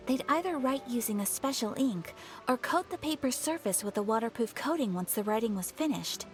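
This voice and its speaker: A woman playing a courteous, learned young noblewoman in a video game, speaking in a formal, measured way. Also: on the high side, natural voice. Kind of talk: scripted video game dialogue.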